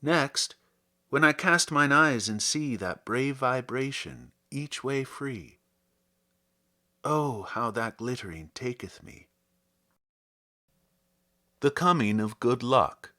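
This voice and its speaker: A man reading classic poetry aloud in a theatrical, deliberate and expressive manner, as a podcast host. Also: mid-range voice. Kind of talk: dramatic poetry recitation.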